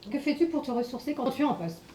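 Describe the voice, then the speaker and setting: Woman interviewer firing off quick questions, echoey room, faint noise. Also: high-pitched, animated, darkish tone. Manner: brisk, short questions. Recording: roomy, distant, faint noise.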